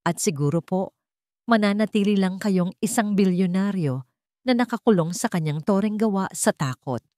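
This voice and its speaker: A gentle young nanny in love, read by a woman narrator, humble and grateful. The speech is polite, soft and heartfelt, in the manner of a romantic audio story. The sound is very dry and close. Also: mid-range voice, normal speed, no room sound, close to an everyday voice. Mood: tender, reflective.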